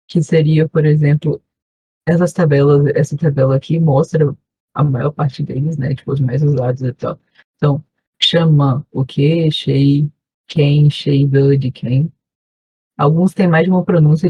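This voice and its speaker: A woman's voice: low-pitched, dark tone, breathy edge, no room sound, highs cut off. A woman with a Brazilian Portuguese accent, a volunteer teacher giving an online class review, explaining patiently and checking in often with her students. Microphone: video call.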